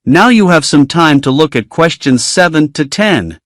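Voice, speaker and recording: A man reading test instructions in a clear, measured way. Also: fairly high pitch, lively intonation, no room sound, studio quality. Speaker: exam announcer. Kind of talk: scripted instructions.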